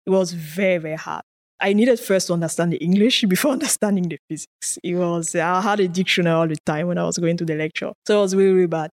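A woman's voice: lowish voice, quick pace, darkish tone. Woman, earnest. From Cameroon. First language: French. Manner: earnest storytelling, occasional laughs and repeated words. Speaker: guest research scientist. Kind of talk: conversational interview answers.

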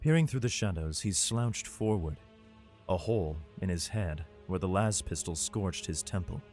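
Low-voiced, grave father in a sci-fi drama acted by a man. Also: expressive, normal speed, darkish tone. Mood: hushed, somber. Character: weary father fleeing with his son through a besieged hive city. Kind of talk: first-person audio drama narration with acted dialogue. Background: music.

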